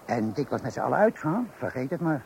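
A man's voice: mid-range voice, very animated, quick pace, gravelly, darkish tone. An actor playing a worldly man in his fifties in a radio play, lecturing in an emphatic, patronising way. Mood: warning, emphatic. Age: 50s. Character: worldly, lecturing fifty-year-old colleague and father of many. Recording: noisy old radio play recording.

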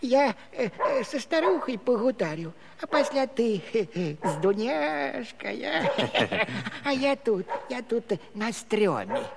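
A slow, halting old village grandfather, wily and comic. His thin, quavering voice swoops up and down as he mutters, in a theatrical radio-play style, with long hall reverb around him. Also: very high voice, very animated, heavily acted. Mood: wheedling. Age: older. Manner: muttering and halting, full of oohs and hesitations. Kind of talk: theatrical Soviet musical-comedy radio play acting. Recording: long hall reverb with clear background noise.

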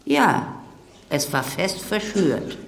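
In a roomy echo, a bitter old woman on the witness stand. She speaks slowly and defiantly, her pitch swinging widely as she accuses. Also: very animated, gravelly, heavily acted. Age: older. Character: bitter old mother-in-law testifying in court. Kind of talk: radio drama acting.

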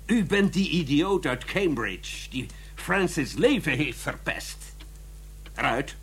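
Gruff, irascible old colonel. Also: male voice, fairly high pitch, lively intonation, slow, a bit bright, exaggerated voice. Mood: angry, scornful. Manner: curt, brusque, indignant. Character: gruff, irascible older colonel, suspicious guardian uncle. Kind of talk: radio drama acting.

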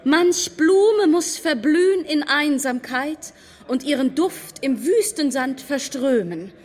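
A woman's voice: high-pitched, animated, takes it slowly. An actress playing a self-important married society woman in a radio drama, effusive and affected, with emphatic delivery. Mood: gushing.

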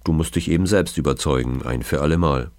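A man's voice: very deep voice, fast, a little mellow, no echo. A man voicing a daring, stubborn, defiant boy in a storybook reading. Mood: insistent.

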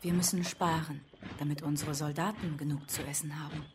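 A woman acts out a resigned but firm wartime mother in a radio play. Her voice is low, set in clearly noisy sound with clicks. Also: fairly even intonation, brisk, natural voice. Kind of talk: German radio drama acting.

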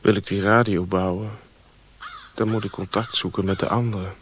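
An actor playing a wry, wary adventurer in a radio drama, with a husky voice. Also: male voice, on the low side, steady tone, normal speed. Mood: musing.

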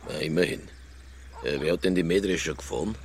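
An actor playing a stubborn, world-weary veteran homicide detective in a radio play, with a gravelly voice, a Bavarian accent and a laconic manner. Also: male voice, a bass voice, fast talker, a little mellow, roomy sound, slight background noise. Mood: gruff, insistent. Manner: laconic, dry, unbothered. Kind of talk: radio drama acting.

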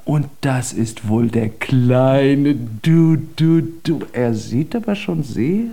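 A gushing giantess neighbour for a children's radio drama. Her voice is deep and mellow, slow and extravagantly melodic. She coos effusively and sounds nosy. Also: animated, gravelly, full character voice. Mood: gushing, cooing. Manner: effusive, cooing baby talk.

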